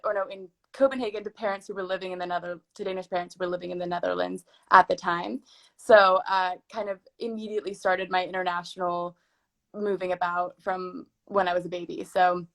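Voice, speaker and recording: Guest in her 20s, film producer; low-pitched, vocal fry, easygoing conversational tone. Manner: relaxed, chatty storytelling. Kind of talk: casual interview chat. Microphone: live video stream from home.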